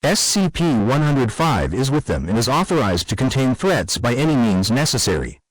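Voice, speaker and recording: A man's voice: medium pitch, sing-song, moderate pace, no room sound, rough recording. A man playing a grave official who reads a formal scripted emergency message to staff. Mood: grave, urgent. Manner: serious, official. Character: grave male official addressing secret foundation staff.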